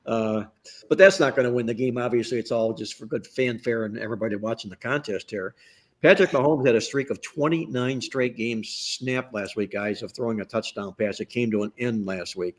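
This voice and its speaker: A man hosting a sports betting podcast roundtable, chatty and stat-heavy, joking along the way while he is losing his voice. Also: mid-range voice. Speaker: podcast host.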